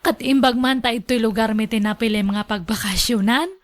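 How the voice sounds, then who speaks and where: An actress with an Ilocano accent playing a coy, hardworking young village woman with hesitant, drawn-out interjections in a radio drama. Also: a little high, close to an everyday voice. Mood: resigned.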